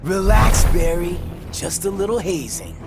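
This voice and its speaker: Young wisecracking superhero for fighting game; excitable, taunting, very animated pitch, over heavy music. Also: male voice, a little slow, vocal fry, heavily acted. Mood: brash. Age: young adult. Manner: brash, slangy, taunting. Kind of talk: video game battle banter.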